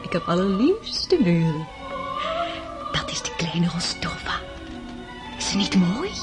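A scheming countess of high society in a radio play. She is poised, smooth and commanding, with widely varied intonation over clearly audible music. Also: big pitch swings, takes it slowly. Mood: sly, scolding. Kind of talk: Dutch radio drama acting.

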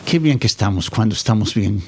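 A man's voice: on the low side, animated, a little fast, gravelly, background noise. A man playing an affectionate, upbeat Scottish boyfriend in an audio drama, effusively dropping English endearments into his Spanish. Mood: amorous.

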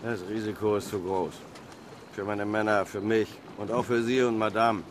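An actor playing a practical, wary harbour pilot and boat owner in a radio play, speaking in a blunt, down-to-earth way. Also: male voice, lowish voice, level tone, moderate pace, slight background noise, lightly acted.

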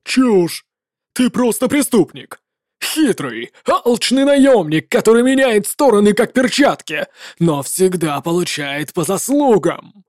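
A woman's voice: mid-range voice, very animated, takes it slowly, no echo, studio quality. A woman voicing an arrogant, hot-tempered male military legate who barks orders. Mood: furious. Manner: barking, domineering, shouting orders.

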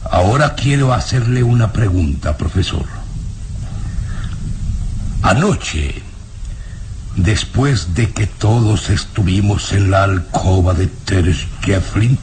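An actor playing a menacing, suspicious baron in a radio drama, speaking with deliberate, interrogating emphasis. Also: male voice, low voice, a little mellow. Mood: menacing interrogation. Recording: noisy old radio drama recording.

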